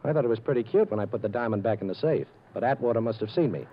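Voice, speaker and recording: An actor playing a quick-witted, confident amateur detective in a brisk 1940s radio drama style. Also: male voice, mid-range voice, lively intonation, natural voice. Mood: brisk, explaining. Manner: brisk, clipped, unflappable. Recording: muffled, noisy old radio recording.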